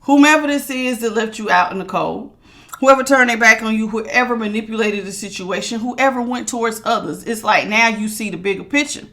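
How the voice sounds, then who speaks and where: A woman with a bright, projecting voice. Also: fairly high pitch, fairly quick. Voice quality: strong, projecting voice. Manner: emphatic and authoritative, repeats phrases for stress. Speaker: tarot reader. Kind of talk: casual tarot reading to viewers.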